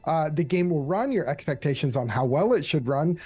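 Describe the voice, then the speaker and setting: A man's voice: fairly high pitch, animated, brisk, darkish tone, slightly rough. A man chatting casually on a livestream as a community manager, dry, in short clipped phrases.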